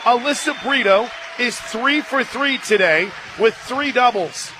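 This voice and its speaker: A man's voice: extremely high pitch, unhurried. An American man doing live play-by-play sports commentary on the radio from a stadium booth. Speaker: play-by-play announcer. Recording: faint music and crowd noise behind. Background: ballpark crowd and faint music.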